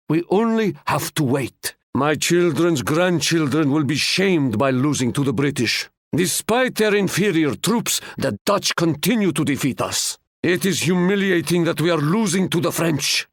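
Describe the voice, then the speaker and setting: A male actor playing a proud, wise Ottoman sultan in a video game, speaking in a stately, formal and measured way. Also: on the high side, vocal fry, no room sound, clean studio sound, full character voice. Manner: stately, formal, measured, proverb-laden delivery. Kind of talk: scripted video game character lines.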